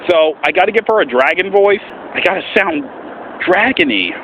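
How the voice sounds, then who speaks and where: Animated man with a higher-pitched, swooping voice. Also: normal speed. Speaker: amateur voice actor. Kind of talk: casual vlog explanation with voice demonstrations. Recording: muffled, faint background noise.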